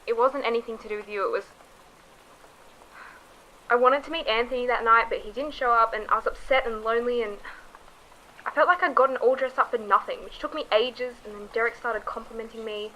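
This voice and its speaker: Radio drama role: woman playing lonely, pleading young woman, forceful bright tone, slight background noise. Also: on the high side, fairly even intonation, fairly quick, poor audio. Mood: lonely, apologetic. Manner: hesitant, pleading, emotional. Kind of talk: amateur radio drama acting.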